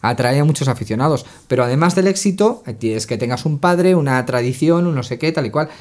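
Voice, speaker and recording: A man's voice: mid-range voice, animated, fast talker. A man from Spain on a casual football chat panel, reminiscing with dry humor. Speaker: podcast panelist.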